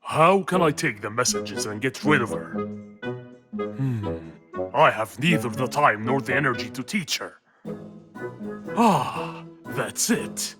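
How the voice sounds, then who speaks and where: Casting: sly, calculating children's cartoon baddie played by a man, falsely friendly, slow and animated, music underneath. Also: medium pitch, close to an everyday voice. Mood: scheming. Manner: condescending, calculating, drawn-out. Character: sly scheming male villain, Mister Fangs, feigning friendliness.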